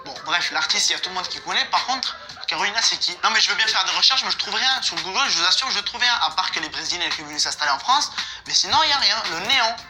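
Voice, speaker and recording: A man's voice: sits high, animated, rapid-fire. A young man hosting comedic commentary on a music video in a slangy, teasing, mock-analytical manner. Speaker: host.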